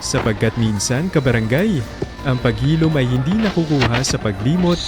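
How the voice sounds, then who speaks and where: Filipino guy doing dramatic story narration, pitch moves around a lot, music under him. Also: expressive, moderate pace. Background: background music.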